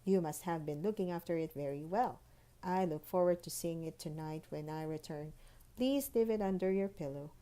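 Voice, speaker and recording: Storybook tooth fairy, female, voiced by a woman reader: bossy, impatient, formal, with a soft-edged mellow tone. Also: on the low side, normal speed, natural voice. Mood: brisk, proud. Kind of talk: children's storybook read-aloud.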